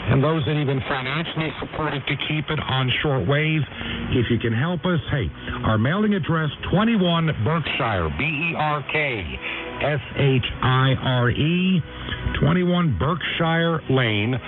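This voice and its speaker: A man hosting a radio program, talking to listeners in a sign-off style and giving details deliberately. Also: fairly high pitch, animated. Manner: spells out and repeats details deliberately. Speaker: radio host. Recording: lo-fi shortwave radio with music.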